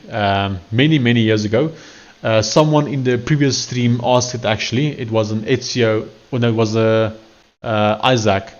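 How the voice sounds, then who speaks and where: A man with a fairly low voice and a clear Afrikaans accent, chatting to his live-stream audience as he works. He wanders from point to point and corrects himself often. The audio is a bit muffled and lo-fi, like a home stream setup. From South Africa. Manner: rambling, self-correcting, talks while working on screen. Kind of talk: casual live-stream coding commentary.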